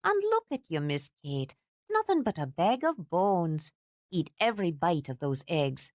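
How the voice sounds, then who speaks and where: A woman's voice: lowish voice, big pitch swings, darkish tone, no room sound, clean studio sound. Woman doing a stern older housekeeper. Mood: scolding. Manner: scolding, brisk, lecturing.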